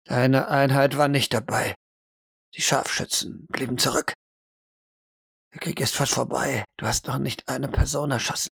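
Male game master, suspenseful hushed narration for audio drama, slow fragments, creaky edge. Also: medium pitch, fairly even intonation, dry recording, studio quality. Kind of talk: hushed second-person narration in short fragments.

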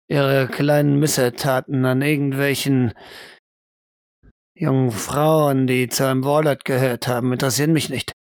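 A man's voice: medium pitch, gravelly, no room sound, clean studio sound. A man voicing a harsh, impatient doctor who interrogates a prisoner with formal, accusing demands in a roleplay audio drama.

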